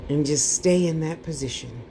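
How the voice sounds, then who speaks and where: A woman's voice: sits low, normal speed, dark tone, slight background noise, low-quality recording. A woman leading a guided meditation class with soothing, informal instructions, playful asides and lulling repeated phrases.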